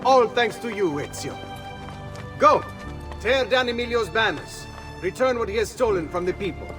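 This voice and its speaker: An actor playing an excitable, grateful rebel leader in a video game, brisk and inquisitive. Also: male voice, high voice, music in the background. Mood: eager. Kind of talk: video game cutscene acting.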